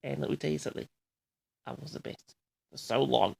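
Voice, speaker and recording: Man, sixties, extremely creaky voice, audio log host, halting delivery, boastful, rambling reminiscence. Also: medium pitch, steady tone. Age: 60s. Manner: boastful, halting, often addresses the audience. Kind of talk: rambling personal reminiscence to listeners.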